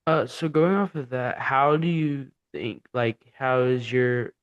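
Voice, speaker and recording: A teenage boy hosting a student podcast, introducing a guest and asking halting questions with fillers. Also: medium pitch, clean tone, dull audio.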